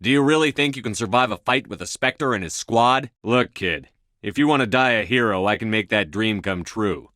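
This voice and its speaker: An actor playing a resolute, no-nonsense military commander in a science fiction video game, with firm, clipped, commanding delivery. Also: male voice, lowish voice, normal speed, sharp tone, dry recording, clean studio sound. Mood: stern, questioning. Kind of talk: video game voice acting, scripted dialogue lines.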